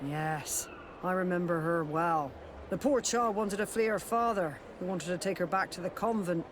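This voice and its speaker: An actor playing a kindly, sympathetic sailor in a video game, gentle and obliging as he talks. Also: high voice, moderate pace, close to an everyday voice. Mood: helpful, sympathetic. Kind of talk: video game cutscene dialogue. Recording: clear noise, outdoor ambience behind.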